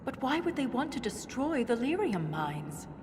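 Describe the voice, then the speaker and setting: A woman's voice: fairly high pitch, sing-song, moderate pace, background noise. A woman playing an earnest, curious dwarven scholar who ponders lost history in a video game cutscene. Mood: puzzled, questioning. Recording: loud music behind the voice. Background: loud music.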